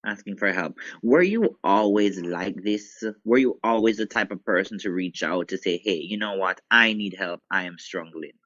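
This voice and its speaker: A man's voice: lowish voice, normal speed, hardly any pauses, fairly bright tone, no room sound, highs cut off. A man hosting a podcast interview, encouraging his guest with short affirmations. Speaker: podcast host.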